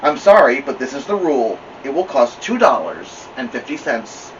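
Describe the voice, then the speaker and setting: A man voicing a kindly, understanding woman who works as a children's librarian in a storybook, polite and gently questioning. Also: mid-range voice, big pitch swings, moderate pace, far from the mic, highs cut off, slight background noise, lightly acted. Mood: kindly, businesslike.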